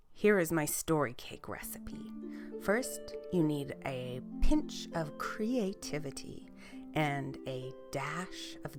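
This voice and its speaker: Female actor as cheerful, snug storyteller for small children; gentle wonder, low register, slow pacing, soft music underneath. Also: full character voice. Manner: gentle, wondering, drawn-out delivery for young children. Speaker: children's story show host. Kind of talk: gentle bedtime storytelling, reading letters aloud.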